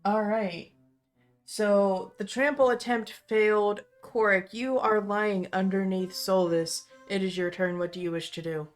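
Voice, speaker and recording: A woman's voice: mid-range voice, steady tone, unhurried. A woman acting as game master, narrating a tabletop roleplaying game. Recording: music under the voice. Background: instrumental music.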